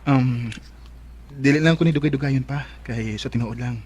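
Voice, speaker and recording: A man's voice: mid-range voice, normal speed, a little mellow, husky, natural voice. A man playing an earnest, lovestruck young suitor in a radio drama, with a Filipino accent. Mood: tender, pleading. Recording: dull lo-fi sound with music.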